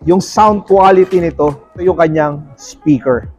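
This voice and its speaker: A man's voice: a little high, measured pace, darkish tone, slightly rough. A man with a Filipino accent giving a playful, salesman-like product review in casual chat, mixing in English terms. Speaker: vlogger presenting a product unboxing. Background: music.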